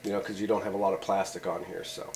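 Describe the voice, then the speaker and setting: A man's voice: low voice, level tone, quick pace, creaky, a little mellow. A man giving a casual, rambling how-to demonstration at a workshop bench, with many "uh" and "you know" fillers. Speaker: channel host showing radio antennas.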